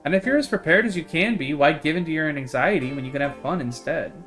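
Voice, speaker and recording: Man reading as a breezy, bright-voiced young woman. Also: fairly high pitch, fast, soft background music, lightly acted. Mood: reassuring. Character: chatty, carefree young woman who hikes hunting cryptids.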